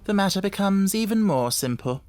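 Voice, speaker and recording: A man voicing a brilliant gentleman detective in an audiobook story, self-assured, urbane and didactic, with wry amusement. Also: high voice, expressive, normal speed, faint music behind, voice pushed up. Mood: explanatory.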